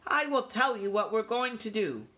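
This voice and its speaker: A man's voice: extremely high pitch, lively intonation, normal speed, bright, forceful tone, voice pushed up, full character voice. A man voicing a young boy mouse in a storybook, eager and a little grand. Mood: confident, commanding.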